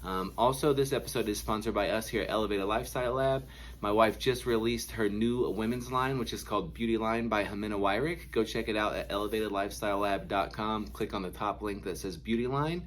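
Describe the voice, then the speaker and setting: A man hosting a casual podcast, excitable and chatty, laughing often and calling his guest dude and man. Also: on the low side, a little fast, a little hiss or hum. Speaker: podcast host.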